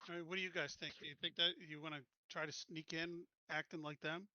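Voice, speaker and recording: Male tabletop player, slightly high bright voice, casual and questioning, close dry sound with dulled edges. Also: flat delivery, fast, dry recording, highs cut off. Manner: questioning, checking the rules. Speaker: player in a tabletop roleplaying game. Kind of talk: casual game-table discussion.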